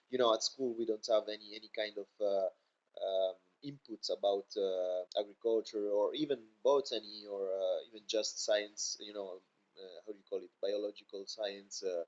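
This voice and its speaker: Man, low voice, dull lo-fi podcast sound; a guest who searches for words, pauses often and restarts his sentences. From Italy. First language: Italian. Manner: halting, many fillers and restarts. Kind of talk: casual interview chat.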